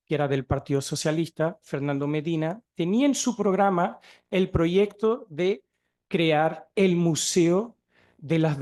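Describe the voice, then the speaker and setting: A Portuguese man, a native speaker of Portuguese, lectures at a seminar in Spanish with a Chilean-flavored accent and a slightly raised pitch. He speaks haltingly, with frequent fillers and self-corrections, through a microphone in a lecture hall. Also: a little mellow. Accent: Chilean-tinged Spanish. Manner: hesitant, many fillers and restarts. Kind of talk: academic lecture.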